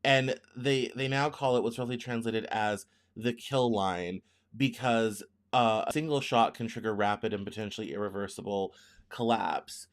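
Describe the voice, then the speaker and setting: A man's voice: lowish voice, moderate pace. A man in his thirties, from the United States, hosting a news show as casual commentary, rambling and correcting himself as he thinks aloud. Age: 30s.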